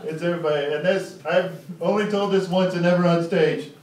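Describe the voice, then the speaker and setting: A man's voice: sits high, steady tone, fairly quick, darkish tone. A man doing live stand-up storytelling, teasing and sarcastic as he rambles through casual banter. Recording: roomy, distant, very noisy live club recording.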